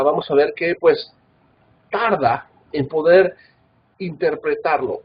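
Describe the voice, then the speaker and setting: A man's voice: mid-range voice, muffled recording, a little hiss or hum. A man from Mexico with a Guadalajara Mexican Spanish accent, giving a recorded Bible institute lecture in an earnest manner, with many filler "ah"s and tag questions.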